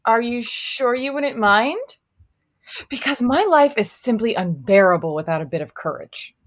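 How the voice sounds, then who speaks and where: A woman's voice: on the high side, expressive, moderate pace. A woman playing a cowardly, melancholy lion in a live table read, plaintive and self-pitying. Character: cowardly, melancholy lion lamenting his lack of courage. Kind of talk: live table read of a children's fantasy story.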